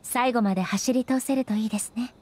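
Woman as a composed, higher-voiced dignified noble lady. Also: darkish tone, slight background noise, lightly acted. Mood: calm, encouraging. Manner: polite, composed, measured formal speech. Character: dignified, gentle, ageless female ruler, formal and nostalgic. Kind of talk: Japanese anime game voice acting.

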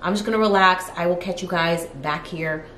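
A woman's voice: fairly low pitch, fairly quick, a bit bright. A woman in her thirties chatting casually to camera as a lifestyle vlogger, informal and peppered with "like", recorded in a living room. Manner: chatty, informal, frequent "like" as filler. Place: living room of an apartment, indoors. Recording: roomy echo, clear background noise.

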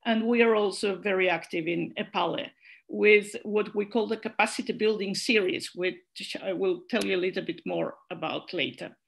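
A woman's voice: medium pitch, normal speed, gravelly. A woman whose first language is Catalan, giving a hesitant conference presentation over a video call with many fillers and restarts. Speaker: conference speaker, network secretary general.

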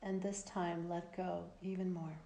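A woman leading a guided yoga relaxation as an instructor, speaking in a calm, measured, gently directive way with long pauses. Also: fairly low pitch, heavy background noise.

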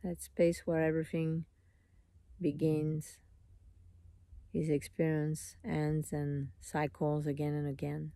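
A meditation teacher leading a live guided meditation in an unhurried, soothing manner, in short phrases with long pauses. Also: mid-range voice, monotone, vocal fry, warm tone, no echo.

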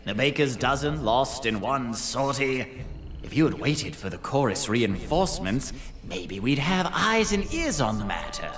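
An actor playing a mocking, villainous spymaster in a fantasy game, with a theatrical, sardonic delivery that draws words out. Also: male voice, medium pitch, sing-song, a crowd in the background. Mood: gleeful mockery. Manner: theatrical, drawn-out, sardonic, swooping. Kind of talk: dramatic fantasy game voice acting. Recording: long hall-like reverb.

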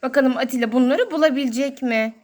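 High-pitched woman, sing-song talk to children. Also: quick pace, a little mellow, slight background noise. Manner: sing-song, child-directed, exclaiming and drawing out words. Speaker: host, mother playing with her child. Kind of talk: toy-play narration for young children.

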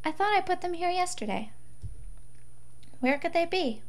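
A woman's voice: extremely high pitch, expressive, normal speed. A woman reading scripted first-person story narration for a visual novel.

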